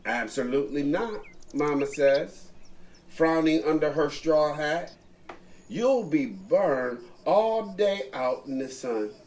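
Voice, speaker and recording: A man reading a children's picture book aloud, taking turns with a co-reader, slowly and expressively. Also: a little high, expressive, a little mellow, slight background noise. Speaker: co-reader of the story. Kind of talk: bedtime story reading.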